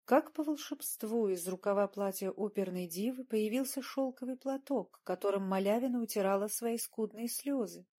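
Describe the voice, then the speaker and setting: A woman reading first-person fiction as an audiobook narrator, with expressive storytelling. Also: mid-range voice, normal speed, few pauses.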